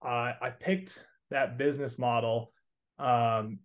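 A man's voice: mid-range voice, steady tone, slow pace. Young Southern-accented man, interview guest, earnest and chatty. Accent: Southern American. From Texas. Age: 20s. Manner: earnest, rambling, many restarts and fillers. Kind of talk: casual interview answers.

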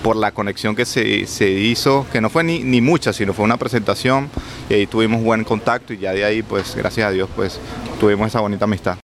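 A man from Venezuela, a podcast guest who speaks in a serious, measured way. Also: fairly low pitch, slight background noise. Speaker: guest, business partner.

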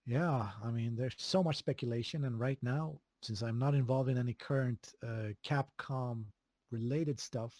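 A man from Sweden with a Swedish accent, chatting casually as an interview guest, relaxed, with many fillers and chuckles. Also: medium pitch, moderate pace, no room sound. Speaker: video game composer, guest.

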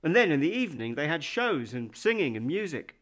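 Man reading lesson answers, slightly high. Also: very animated, a little fast, fairly bright tone. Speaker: lesson dialogue speaker.

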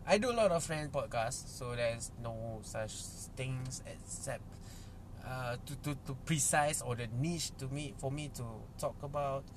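Slow, halting Malaysian man. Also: mid-range voice, background noise. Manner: halting, many fillers and restarts, chuckles. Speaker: podcast host. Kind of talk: casual solo podcast intro.

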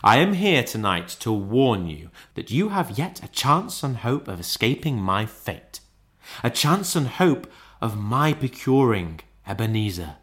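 A man's voice: medium pitch, big pitch swings, fairly bright tone. A man voicing a mournful, remorseful old male ghost in a storybook, with a solemn, lamenting and declamatory delivery. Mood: solemn warning. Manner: declamatory, lamenting, drawn-out emphasis. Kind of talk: dramatised classic ghost story.

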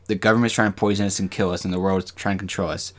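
Low-voiced man, halting and rambling. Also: little pitch movement, fairly bright tone. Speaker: podcast co-host. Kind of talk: casual discussion with some reading aloud from notes.

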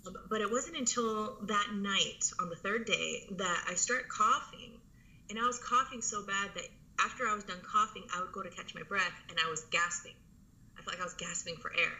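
Very bright-voiced woman in her thirties. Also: medium pitch, moderate pace, low-quality recording. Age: 30s. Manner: rambling, many fillers and restarts. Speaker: interview guest. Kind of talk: casual conversational storytelling.

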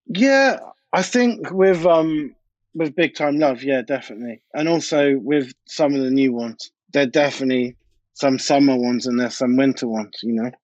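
A man's voice: medium pitch, moderate pace, darkish tone, no room sound. British guy producer just chatting away in an interview, bit dull lo-fi audio, pretty dry. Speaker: DJ and music producer, interview guest. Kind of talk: casual interview chat.

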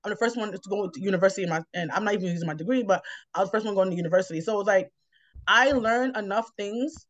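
Fast-talking New woman, slightly dull recording. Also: mid-range voice, no room sound. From New York, United States. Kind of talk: casual reflective conversation. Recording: slightly dull, lo-fi, dry sound.

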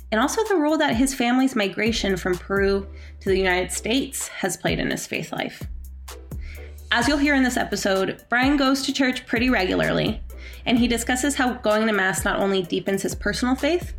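Gravelly-voiced woman hosting a podcast. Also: fairly high pitch. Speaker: podcast host. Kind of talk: interview hosting.

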